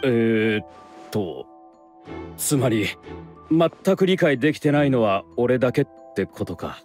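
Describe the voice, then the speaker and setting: Man as blunt, harried mid-pitched helper. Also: lively intonation, unhurried, a little mellow, soft background music, natural voice. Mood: sheepish. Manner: casual, blunt, exasperated. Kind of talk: Japanese game dub dialogue.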